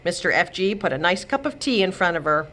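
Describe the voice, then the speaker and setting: Woman reading aloud, very lively intonation. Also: on the low side, a little fast. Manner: expressive storytelling. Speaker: librarian host and storyteller. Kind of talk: children's read-aloud narration.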